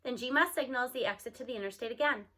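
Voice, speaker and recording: Bright-voiced woman reading a story aloud. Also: fairly high pitch, fast talker. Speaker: read-aloud narrator. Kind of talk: expressive storybook read-aloud with character dialogue.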